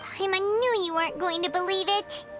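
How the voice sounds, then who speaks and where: A woman voicing a small, childlike fairy companion in a video game, squeaky, expressive and earnest. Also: moderate pace, music in the background. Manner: refers to self by name, expressive, earnest.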